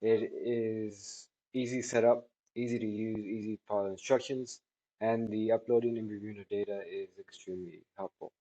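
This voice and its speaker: Guy in his 30s doing a product review, lowish mellow voice, kinda halting and measured. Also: fairly even intonation, poor audio. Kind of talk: spoken testimonial answering questions. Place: small indoor office room by a large window.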